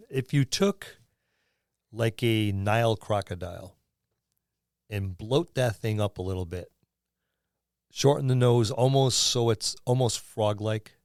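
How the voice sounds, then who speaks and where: A man with a medium-pitched voice co-hosts a gaming podcast. He talks in a loose, halting way, as if thinking aloud. The sound is close and dry, with no room around it. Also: smooth, no echo. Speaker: podcast co-host. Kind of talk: casual chat about tabletop gaming.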